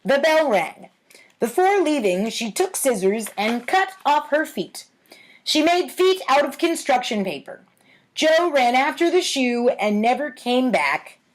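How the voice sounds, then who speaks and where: A woman's voice: sits high, very animated, slow, a little hiss or hum, poor audio. An adult woman hosting a storytime, reading in a tongue-in-cheek manner with casual asides. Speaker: host of a storytime series reading own childhood writing.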